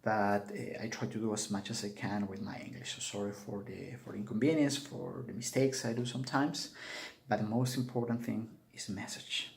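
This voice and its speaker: Peruvian guy w spanish accent, gravelly voice, earnest pleading spiritual talk, halting english. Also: lowish voice, steady tone. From Peru. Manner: earnest and urging, with halting non-native phrasing. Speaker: spiritual teacher. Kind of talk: earnest spoken address to camera.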